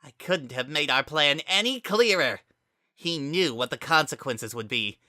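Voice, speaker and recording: A man's voice: on the high side, lively intonation, a little slow, bright, forceful tone, dry recording, studio quality, voice pushed up, full character voice. A man voicing a menacing young demonic villain with a theatrical, sneering and coldly formal manner in a storybook reading. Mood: mocking, bitter.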